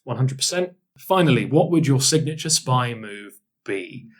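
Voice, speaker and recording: A man's voice: medium pitch, lively intonation, normal speed. A man hosting a podcast, chatting casually with a guest in a teasing, jokey way. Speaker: podcast host. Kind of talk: casual chat, interviewing a guest.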